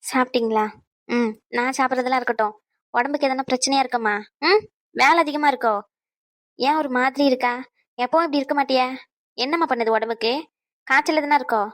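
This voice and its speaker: A woman voicing a grandmother who gently coaxes and questions her granddaughter. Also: sits high, fairly quick, pauses often. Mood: coaxing. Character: soft probing grandmother.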